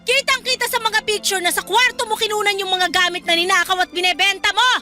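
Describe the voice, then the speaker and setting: Betrayed single mother for radio melodrama, played by an actress: very high, forceful, accusing and scolding. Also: fairly even intonation, fast talker, faint music behind. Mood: accusing, angry. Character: furious betrayed single mother confronting her thieving maid. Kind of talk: melodramatic radio drama acting.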